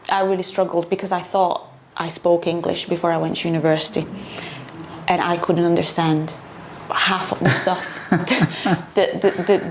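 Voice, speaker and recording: A woman's voice: lowish voice, a little hiss or hum. A woman in her thirties from Brazil with a Brazilian accent, chatting as an interview guest with frequent uh fillers. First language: Portuguese. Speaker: guest, property investor. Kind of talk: casual interview chat.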